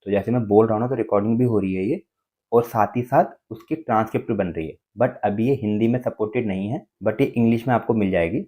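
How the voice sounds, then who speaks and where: A man with an Indian accent walks through phone features in a casual, instructional way. His voice sits a little low and has a soft, mellow tone. He talks quickly and keeps checking in with small tag words as he explains each step. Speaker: tech tutorial presenter.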